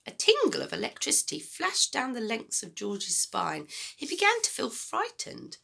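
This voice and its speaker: A woman's voice: on the high side, huge pitch swings, normal speed, gravelly, sharp tone. A woman reading a children's storybook aloud expressively, as a teacher would to pupils.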